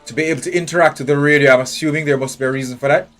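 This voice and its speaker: A man's voice: on the high side, fairly even intonation, racing, fairly bright tone. A man streaming a game live, thinking aloud in a blunt, profane way and nitpicking every detail. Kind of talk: live gameplay commentary. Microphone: headphones with a desk mic. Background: faint game music.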